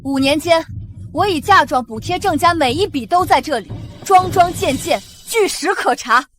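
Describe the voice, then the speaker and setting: A woman's voice: very high-pitched, animated, moderate pace, fairly bright tone. An actress playing a proud young noblewoman, secretly a general, with a resolute, cutting delivery in a Chinese costume drama. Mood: defiant.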